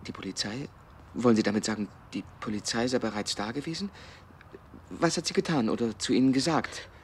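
Actor for smooth, opportunistic wooer in a radio play. Mid-range male, earnest yet hesitant, ambient noise behind. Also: mid-range voice, brisk, natural voice. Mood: careful, probing. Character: smooth-talking, opportunistic suitor, anxious anarchist comrade. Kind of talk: German radio drama acting.

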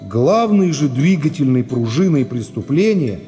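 An actor playing a self-satisfied, dim-witted prosecutor who orates with drawn-out words and affected pauses in a radio play. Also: male voice, a little high, big pitch swings, warm tone, slightly rough, faint music behind, exaggerated voice. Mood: pompous. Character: pompous, self-satisfied, dim-witted male assistant prosecutor orating in court.

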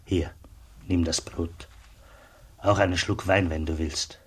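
An actor playing a friendly, hospitable customs officer at a border post in a radio play, with a husky voice. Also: male voice, low voice, fairly even intonation, takes it slowly, heavily acted. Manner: kindly, hospitable.

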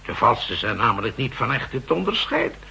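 An actor playing a fussy, insistent central-bank expert who explains pedantically, in 1960s radio drama style. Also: male voice, medium pitch, sing-song, a little fast, vocal fry, sharp tone, breathy edge, full character voice. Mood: urgent, emphatic. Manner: pedantic, emphatic, hesitating and repeating himself. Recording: noisy vintage 1960s radio recording.

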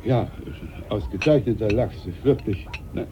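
An actor playing a stiff, aggrieved ship's doctor in a German radio play, formally polite yet resentful. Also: male voice, fairly low pitch, a little slow, close to an everyday voice. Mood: stiff, protesting. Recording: tinny, clearly noisy 1980s radio recording.

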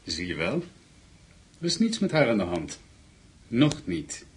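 An actor playing a sly, threatening henchman in a radio drama, brisk and controlling. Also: fairly low pitch, sing-song, slight background noise. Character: sly, threatening male henchman posing as policeman.

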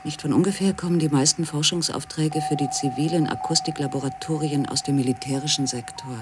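A man reading scripted documentary narration for a radio feature in a measured, factual way. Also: fairly high pitch, fairly even intonation, background noise.

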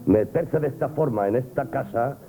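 An actor playing a stern, proud shopkeeper, blunt and scolding, in a Spanish radio play. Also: male voice, mid-range voice, moderate pace, mellow, close to an everyday voice. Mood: cold, reproachful. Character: stern, wounded, proud shopkeeper, father of a daughter. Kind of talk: Spanish radio theatre acting, 1970s. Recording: muffled, noisy old radio broadcast.

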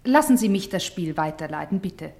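An actress playing a commanding play leader in a German radio drama, deliberate and insistent as she directs. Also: on the low side, lively intonation, gravelly, a little mellow. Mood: firm. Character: commanding female play leader summoning people and places to speak.

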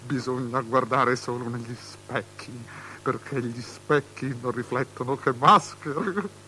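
A man's voice: mid-range voice, sharp tone. Desperate lecherous king, mid voice, rambling and repeating himself, murmurs then bursts, stagey radio drama, bit of hiss and hum. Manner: halting, repetitive, lurching between murmurs and outbursts. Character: lustful, desperate tetrarch of Judea, pleading and erratic. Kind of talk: avant-garde theatrical radio drama acting. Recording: noisy old drama recording with hum.

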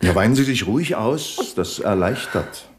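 An actor playing a gruff middle-aged police commissioner in a 1970s radio drama, brusque and commanding. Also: male voice, on the low side, expressive, moderate pace.

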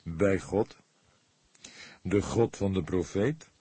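A man voicing an old police detective in an audiobook, weary and thoughtful, speaking in a measured, deliberate way with gentle sarcasm. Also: low voice, breathy edge, dry recording, voice pushed up, heavily acted. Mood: probing, sarcastic. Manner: slow, measured, deliberate, soft-spoken.

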